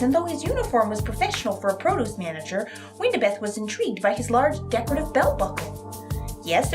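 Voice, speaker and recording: A woman with a fairly high voice speaks earnestly as a romance novelist guest. Her delivery is halting and a little apologetic, and music plays clearly behind her. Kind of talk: dramatic reading of romance prose, then casual interview talk.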